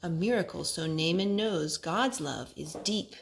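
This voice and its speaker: A woman reading as a calm old prophet. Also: fairly low pitch, unhurried, vocal fry, slight background noise, voice pushed down. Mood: reassuring.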